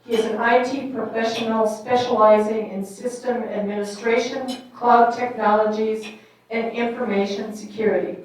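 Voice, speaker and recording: Woman moderator, reads bios with pauses, recorded from a distance in a large hall. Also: on the high side, fairly even intonation. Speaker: forum moderator. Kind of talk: introducing panelists at a public forum. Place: large echoey meeting hall. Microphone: distant microphone. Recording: echoey hall, mic far off.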